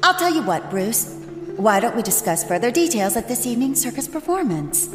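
An actress playing a caring young woman who runs a circus, speaking gently and in a businesslike way. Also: fairly high pitch, sing-song, brisk. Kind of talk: audio drama acting. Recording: reverberant with music score.

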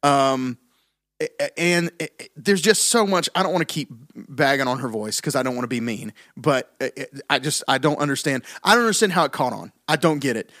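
A man co-hosting a casual music podcast, bluntly dismissive and halting, with frequent restarts. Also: medium pitch, a bit bright, dry recording, clean studio sound. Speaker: podcast co-host. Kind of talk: casual opinionated music chat.

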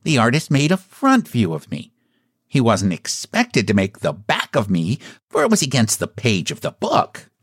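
A man's voice: a little high, big pitch swings, measured pace, dry recording, voice pushed up, heavily acted. A gloomy, sulky clown from a storybook, performed by a man. The voice swoops up and down, often lifted above a normal male register. He grumbles crossly, in a dry sound. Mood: annoyed.

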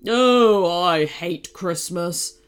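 A woman voicing a grumpy, lonely male snow monster in a children's story, with a loud, drawn-out, sulky delivery over music. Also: lowish voice, smooth, voice pushed down. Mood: bitter, sad.

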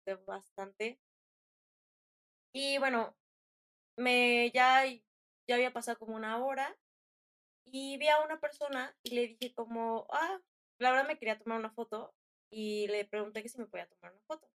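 Chatty young Mexican woman, slightly high voice. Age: young adult. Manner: chatty, informal, slangy, animated retelling with quoted dialogue. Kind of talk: casual storytelling chat.

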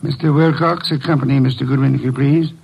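An actor playing a pompous, exacting middle-aged detective boss in an old-time radio drama, with formal, precise diction and a gravelly voice. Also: male voice, mid-range voice, fairly even intonation, fast, muffled recording. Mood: commanding.

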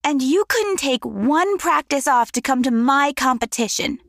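A woman's voice: high voice, lively intonation, a little slow, vocal fry, a bit bright, no room sound, clean studio sound, exaggerated voice. A woman playing a hurt, moody, artistic young daughter in an audio drama, sulky and accusing.

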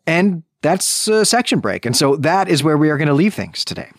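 A man with a lively, varied pitch recaps a book as a podcast co-host. He explains things step by step in a conversational way, and the sound is dry and close, with no room echo. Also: normal speed.

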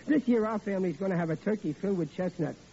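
A man's voice: high-pitched, quick pace, dark tone. An actor playing a boastful, blustering working-class father in a 1940s radio sitcom, with brash, wisecracking tough talk, heard on an old broadcast. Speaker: lead actor as blustering family man. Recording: dull old radio broadcast with faint hiss.

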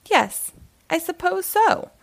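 A woman voicing an easygoing female hedgehog who loves sailing, polite and unhurried, in a children's storybook. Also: very high-pitched, very animated, vocal fry, dry recording, pitched above the performer's own voice, exaggerated voice. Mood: reluctant.

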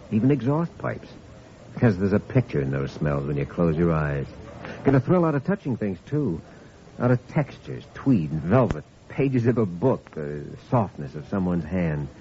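Deep, earnest doctor, old radio. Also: male voice, dark tone. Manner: earnest, thoughtful, lyrical. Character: earnest, idealistic, compassionate hospital doctor. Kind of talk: 1940s radio drama acting. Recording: dull, noisy old radio recording.

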